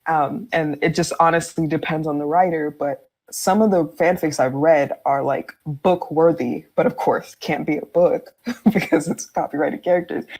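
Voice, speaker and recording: Woman, deep register, casual podcast guest, candid, informal, conversational. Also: steady tone, normal speed, a little mellow. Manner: candid, informal, laughs often while talking. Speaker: fan fiction writer, podcast guest.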